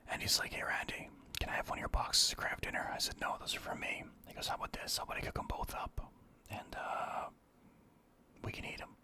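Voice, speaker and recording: A man's voice: a little fast, sharp tone. Whispering man telling old stories. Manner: whispering throughout; rambling, swears casually. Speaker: storyteller. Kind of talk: whispered storytelling, casual.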